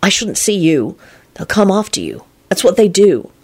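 A woman's voice: medium pitch, big pitch swings, normal speed, darkish tone, slightly rough. A woman voicing a brooding, stubborn and protective young male wizard in a storybook reading, earnest and subdued. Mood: miserable.

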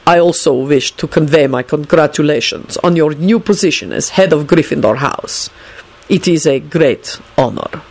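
A storyteller voicing a haughty, icy gentleman in an audiobook story, speaking in a formal, grave, measured way. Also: mid-range voice, animated, slightly rough. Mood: grave, solemn.

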